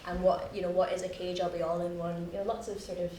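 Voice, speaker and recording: A woman's voice: fairly low pitch, little pitch movement, fast talker, warm tone. Scottish playwright, young woman, chatty and self-mocking, heard from afar over a noisy room. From Scotland. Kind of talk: post-show discussion. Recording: echoey room, distant mic, noisy.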